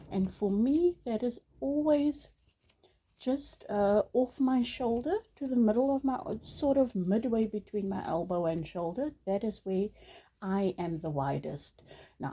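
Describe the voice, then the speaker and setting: A woman's voice: fairly high pitch, dark tone, husky. A woman whose first language is Afrikaans, teaching crochet in a casual, chatty way with many ums and apologetic restarts. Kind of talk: casual tutorial talk.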